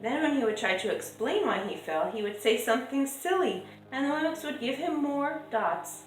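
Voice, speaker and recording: A woman reading a children's picture book aloud in a measured, lilting storytelling way, drawing out stressed words. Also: sits high, lively intonation. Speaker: storybook reader. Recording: echoey room with music behind. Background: music.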